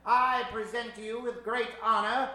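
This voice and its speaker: A man's voice: extremely high pitch, fairly even intonation, moderate pace, bright, forceful tone. A man hosting a live improvised radio show on a theater stage, showy and mock-formal in an old-time radio manner, joking with the crowd. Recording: echoey theater stage recording.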